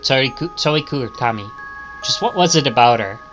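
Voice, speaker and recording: A man's voice: medium pitch, animated, a little fast, music in the background. A man streaming a visual novel, reading it aloud in English with dramatic narration.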